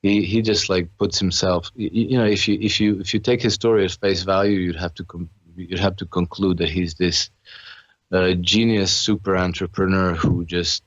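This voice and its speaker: Low-voiced man, earnest and halting. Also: fairly even intonation, no echo, dull audio. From Croatia. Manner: earnest, with many restarts and fillers. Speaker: podcast guest, author and trader. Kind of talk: conversational interview.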